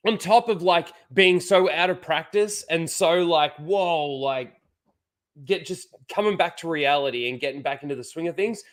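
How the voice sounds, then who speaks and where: A man's voice: fairly high pitch, normal speed. A man with a head cold and blocked nose, rambling casually to his chat as a livestream host, full of "like" and restarts.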